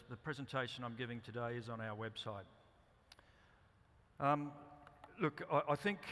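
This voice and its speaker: Aussie guy giving a talk, kinda flat delivery, lots of ums, echoey hall. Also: mid-range voice. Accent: Australian. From Australia. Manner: halting, many ums and restarts. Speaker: company director presenting. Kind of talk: conference presentation. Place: conference hall. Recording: reverberant hall.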